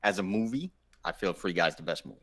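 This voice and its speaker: Animated low-pitched man in casual debate. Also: quick pace. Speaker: podcast panelist. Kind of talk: casual group debate about movies and games.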